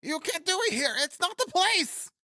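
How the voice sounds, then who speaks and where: Panicky male guard for an audiobook, way high pitched, indignant, protesting. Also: sing-song, measured pace, bright, forceful tone, no echo, clean studio sound, heavily acted. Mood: alarmed. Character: agitated, wide-eyed male guard objecting in alarm. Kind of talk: audiobook character acting.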